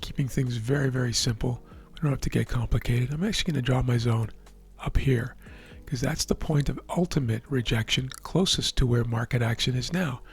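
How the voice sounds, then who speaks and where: A man with a hoarse, worn voice calmly explaining the markets as a trading educator, often checking in with the listener. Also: mid-range voice, fairly quick, hardly any pauses, faint music behind. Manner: relaxed, explanatory, checks in with "right?". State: hoarse, losing his voice. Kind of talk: live market commentary over a chart screen.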